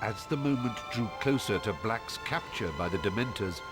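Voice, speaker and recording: A man's voice: fairly low pitch, animated, moderate pace. A man narrating a scripted fantasy story dramatically for a video game. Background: music.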